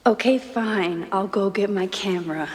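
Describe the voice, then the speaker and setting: A woman's voice: medium pitch, a little slow, lightly acted. Actress as wry young woman, reverberant. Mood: wry, reflective. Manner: wry, reflective. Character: wry, gentle young woman, artist and teacher. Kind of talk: video game acting, reflective inner monologue.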